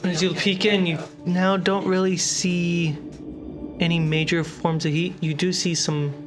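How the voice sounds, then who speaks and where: A woman narrating a tabletop game as game master, describing scenes in short, measured phrases. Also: fairly low pitch, level tone. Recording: prominent music bed. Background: background music score.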